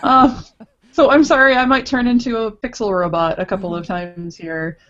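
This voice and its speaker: A woman's voice: a little high, little pitch movement, normal speed, a bit bright. A woman guesting on a casual podcast over an online call with dull, lo-fi audio, talking hesitantly. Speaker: podcast guest, comics artist.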